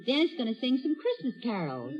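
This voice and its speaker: A brisk, familiar woman, high-pitched with hugely swooping intonation. It is vintage radio comedy on a dull, lo-fi recording. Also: huge pitch swings. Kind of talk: 1940s-50s radio comedy acting.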